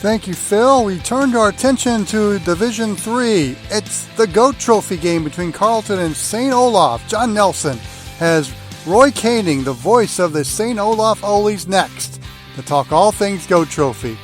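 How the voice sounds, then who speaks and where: A man's voice: high voice, lively intonation, a little slow. A man anchoring a sports show in a scripted radio announcing style. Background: guitar music bed.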